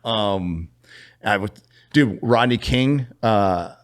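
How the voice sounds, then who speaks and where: A middle-aged man from California telling a story as a podcast guest, animated and profane, laughing as he goes. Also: fairly low pitch, takes it slowly. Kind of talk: casual storytelling.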